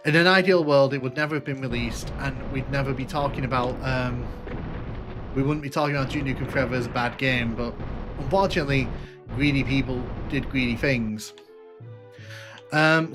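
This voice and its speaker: A man with a northern English accent and a light twang of Liverpool talks at a middling pitch. He hosts a gaming stream in a chatty, informal way, often restating his phrases as he goes. Music plays behind his voice. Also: a bit bright. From north of England, UK. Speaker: livestream host, gaming streamer. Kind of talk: casual live stream chat with viewers over gameplay.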